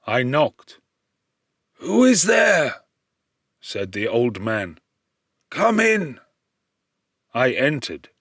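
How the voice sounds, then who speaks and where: A man reading literary narration for an audiobook in a measured, dramatic manner. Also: lowish voice, fairly bright tone, dry recording, clean studio sound.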